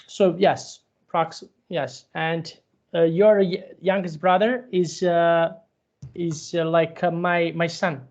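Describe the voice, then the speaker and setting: Man, halting teacher on a call. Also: a little high, level tone, a little mellow, lo-fi sound. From Serbia. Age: 30s. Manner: patient, halting, with frequent restarts. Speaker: English teacher. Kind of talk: one-on-one online English lesson.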